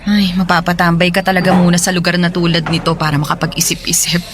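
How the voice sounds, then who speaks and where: An actress with a Filipino accent playing a friendly, sympathetic office worker in a conversational radio drama. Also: female voice, fairly low pitch, flat delivery, quick pace, background noise, lightly acted. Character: friendly single office woman, sympathetic listener.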